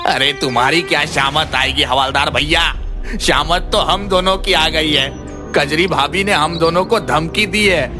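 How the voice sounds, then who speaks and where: A man's voice: fairly high pitch, measured pace, over music, close to an everyday voice. A man playing a village loafer, a teasing buddy character in a cartoon. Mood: worried. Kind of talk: cartoon voice acting.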